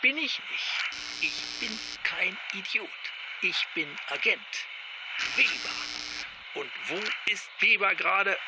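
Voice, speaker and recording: Indignant bumbling agent, very noisy recording. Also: male voice, sits high, animated, takes it slowly, exaggerated voice. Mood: defensive, flustered. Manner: grumbling, defensive, rambling to himself. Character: indignant, bumbling male agent stranded among crowds. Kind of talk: audio drama monologue as answering machine message. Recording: very noisy, radio-like message recording.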